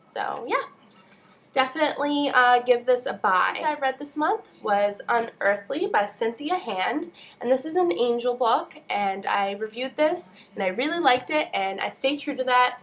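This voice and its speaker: A woman's voice: fairly high pitch, animated, measured pace, fairly bright tone. A young woman with a nasal voice, stuffed up with a cold, chatting casually as she gives book reviews. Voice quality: nasal, congested. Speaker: book vlogger.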